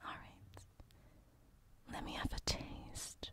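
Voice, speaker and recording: A woman playing a gentle, teasing sleep paralysis demon in a whispered ASMR roleplay, close on a binaural microphone, with a breathy, hesitant delivery. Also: no echo, lightly acted. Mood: coaxing, reassuring. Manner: slow, soothing, hesitant, teasing.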